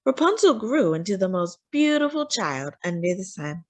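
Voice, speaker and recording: Children's storyteller, female, animated pitch, dramatic emphasis, narrating fairy tales to young listeners. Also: normal speed. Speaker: children's read-aloud storyteller.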